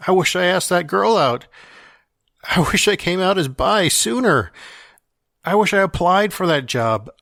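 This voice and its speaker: A man acts out a dying man delivering a farewell monologue for a fiction podcast. His voice sits a little high and he takes his time, wry and confessional. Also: lively intonation, measured pace, vocal fry, long pauses, a bit bright, dry recording, studio quality, heavily acted. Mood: wistful regret.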